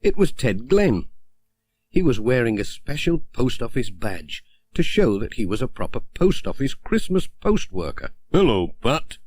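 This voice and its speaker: A man's voice: mid-range voice, very animated, moderate pace, a little mellow, no echo. A man narrating a children's story from a script, as an audiobook reader.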